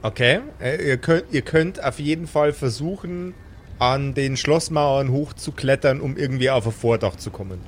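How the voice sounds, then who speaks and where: A man's voice: mid-range voice, normal speed, a little hiss or hum. A man acting as game master, improvising the narration of a fantasy tabletop audio game.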